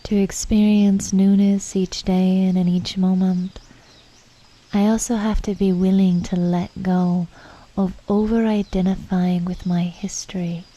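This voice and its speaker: A woman leading a guided meditation in a calm, unhurried, reflective manner, with soft, breathy phrase endings and pauses between thoughts. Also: mid-range voice, dull audio.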